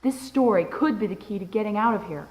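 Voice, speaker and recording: A woman's voice: mid-range voice, animated, fast talker, darkish tone, roomy sound, lightly acted, rough recording. A woman playing a stern, insistent, bitter mother in an audio drama. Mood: solemn storytelling. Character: commanding, bitter mother, scholarly and accusing.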